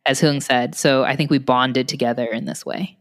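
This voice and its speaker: Woman, young adult, low voice, hesitant, lots of fillers, podcast chat, long reverb. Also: steady tone, moderate pace. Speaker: podcast co-host. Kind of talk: casual chat.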